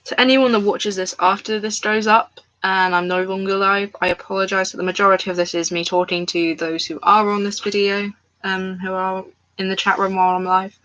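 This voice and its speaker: Woman streaming from home, bright voice, loose rambling chat with viewers. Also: mid-range voice, flat delivery, a little fast, lo-fi sound. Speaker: crafts livestreamer. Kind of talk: casual live-stream chat. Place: at home, indoors.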